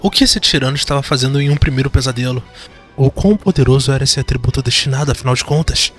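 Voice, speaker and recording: A man's voice: mid-range voice, fast. A man with a Brazilian accent giving dramatic scripted narration for a horror fantasy audio drama. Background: music.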